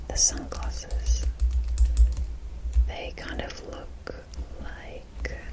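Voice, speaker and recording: Woman whispering asmr close on the mic, really slow, gentle rambly show and tell. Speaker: ASMR host. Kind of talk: whispered ASMR doll showcase. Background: tapping and rustling of small objects.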